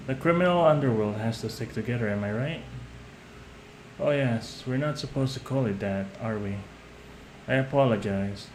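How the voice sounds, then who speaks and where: A man playing a young mafia heir in an audio roleplay, measured and polite on the surface, condescending and manipulative underneath. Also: fairly low pitch, a little mellow, lightly acted. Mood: polite, soothing. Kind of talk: scripted audio roleplay addressed to the listener. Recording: roomy echo, some background noise.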